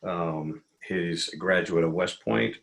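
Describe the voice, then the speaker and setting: A man hosting a webinar and introducing the guest speaker, speaking haltingly with many pauses and fillers. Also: deep voice, flat delivery, a bit bright.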